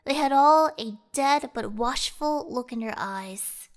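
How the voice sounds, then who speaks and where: Woman, high-ish voice, expressive read-aloud narration, game host, background music. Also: takes it slowly. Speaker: let's play host reading a visual novel aloud.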